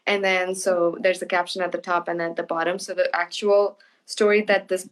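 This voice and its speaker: Thoughtful woman author, halting speech. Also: fairly low pitch, level tone. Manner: thoughtful, halting with restarts and soft laughs. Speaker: author being interviewed. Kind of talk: casual conversational interview.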